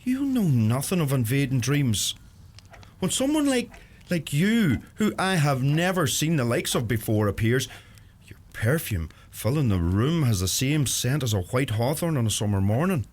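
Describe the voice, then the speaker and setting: An actor playing an earnest, plain-spoken young Irish farmer with a Donegal accent, torn by guilt, in a radio play. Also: male voice, mid-range voice, very animated, moderate pace, darkish tone. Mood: yearning, torn.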